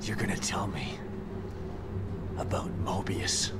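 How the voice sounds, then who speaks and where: A man's voice: sits low, takes it slowly, creaky, heavy background noise, exaggerated voice. An actor voicing a grieving former police detective father in a video game cutscene, talking with intense urgency. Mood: angry. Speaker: game protagonist. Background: game music.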